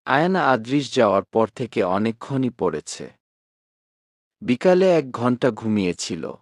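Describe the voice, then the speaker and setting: A man narrating a romantic story from a script in a measured, expressive reading style. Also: mid-range voice, no echo, studio quality.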